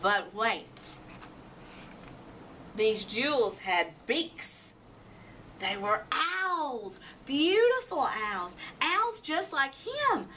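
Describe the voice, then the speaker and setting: A woman narrating a children's storybook in an expressive, dramatic way. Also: high-pitched, very slow. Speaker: storybook reader. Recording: distant mic, faint background noise.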